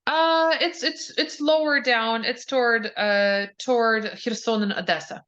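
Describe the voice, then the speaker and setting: A woman's voice: a little high, a little slow, fairly bright tone. A woman from Ukraine answering interview questions, hesitant, with many fillers and restarts. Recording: dull lo-fi audio.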